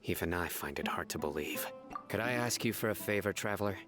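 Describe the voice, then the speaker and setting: An actor playing a stern, resolute young investigator in a video game, speaking in a measured, formal way. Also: male voice, deep voice, animated, music in the background. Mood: grave, deliberate.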